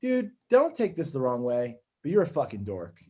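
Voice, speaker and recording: A man's voice: medium pitch, wildly swooping pitch, normal speed, mellow. A man playing a crude, bossy TV network boss, blunt and breezily condescending, in a comedy table read. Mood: blunt, dismissive.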